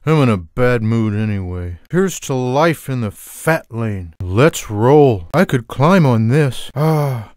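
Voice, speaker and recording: A lazy, food-loving cartoon house cat for a video game. He speaks at a slow, unhurried pace in a middling male pitch, tossing out sarcastic remarks in a deadpan way. The sound is dry, with no room around the voice. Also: no echo. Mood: weary, wry. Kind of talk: scripted video game character lines.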